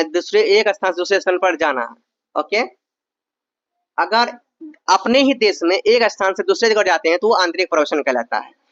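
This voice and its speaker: Young male exam tutor from Bihar; high voice, conversational explainer; close, dead room, muffled lo-fi sound. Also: fairly bright tone, dry recording. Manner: explains in casual asides, often asks "understand?". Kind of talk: exam-prep lesson, reading answers and explaining.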